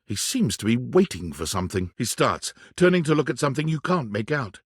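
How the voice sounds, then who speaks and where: A man narrating a supernatural video game in the second person, with measured, theatrical scripted delivery. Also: mid-range voice, sing-song, breathy edge, dry recording, studio quality.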